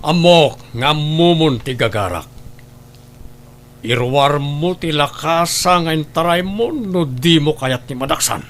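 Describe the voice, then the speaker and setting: A man's voice: a little high, animated, slow, a bit bright. A man playing a cruel, greedy, hot-tempered father and master in a family drama, domineering and threatening in manner. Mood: menacing. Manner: menacing, domineering. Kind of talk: Ilocano radio-style family drama acting.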